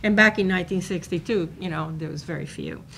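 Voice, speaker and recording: A woman's voice: lowish voice, fast talker, creaky, a bit bright, a little hiss or hum, low-quality recording. A woman in her sixties, born in Cuba, giving a conversational university talk at a table microphone, with many fillers and restarts. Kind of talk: informal lecture on a panel. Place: university seminar room.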